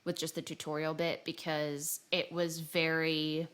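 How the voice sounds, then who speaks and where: A woman's voice: deep voice, completely flat delivery, unhurried, a bit bright. A woman chatting casually as the co-host of a podcast.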